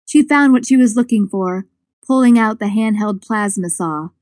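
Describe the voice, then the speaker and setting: A woman reading scripted suspense fiction as an audiobook narrator, with expressive storytelling. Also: medium pitch, moderate pace, dry recording, studio quality. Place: dry studio.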